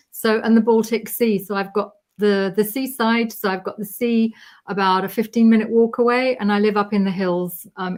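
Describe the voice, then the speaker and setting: A woman's voice: mid-range voice, darkish tone. A middle-aged woman from Britain, a guest in a casual interview, chatty with frequent fillers and laughter.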